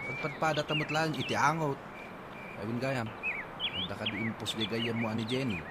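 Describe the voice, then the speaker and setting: A man's voice: mid-range voice, steady tone, moderate pace, natural voice. A man playing a fussy, teasing Ilocano husband in a radio comedy drama, exclaiming, pleading and repeating himself. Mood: teasing, casual. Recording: noisy radio drama with outdoor ambience.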